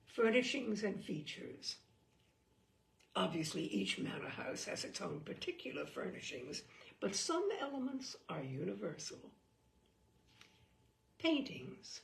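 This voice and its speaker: A woman storyteller reads comic prose with theatrical, knowing relish. Her pitch swoops widely and creaks at the edges, at an unhurried pace, in a roomy, echoing space. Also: sing-song. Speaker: storyteller reading a book aloud. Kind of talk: expressive humorous narration of a mock guidebook.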